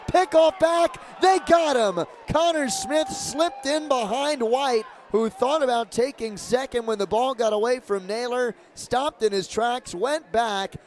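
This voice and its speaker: A man doing live radio play-by-play of a baseball game, describing the action in short, clipped, measured phrases. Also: very high-pitched. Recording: clear outdoor ballpark noise behind.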